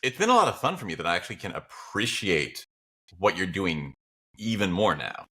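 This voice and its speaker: Forceful, bright low-voiced man chatting casually. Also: big pitch swings, brisk. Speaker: podcast guest, audio drama creator. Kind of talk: casual chat.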